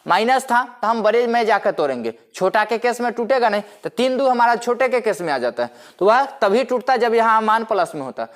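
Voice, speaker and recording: A man's voice: high voice, expressive, brisk, few pauses. Man teaching maths step by step. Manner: explanatory, repeats himself with rhetorical questions. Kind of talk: step-by-step classroom-style lecture.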